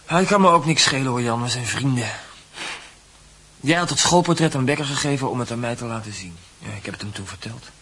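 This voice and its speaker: An actor playing a lovesick, proud and touchy schoolboy in a radio drama, with an emotional, argumentative manner. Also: male voice, mid-range voice, fairly quick, bright, forceful tone, slightly rough. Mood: brooding, confiding. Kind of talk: Dutch radio drama acting. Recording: noisy radio play recording with ambience.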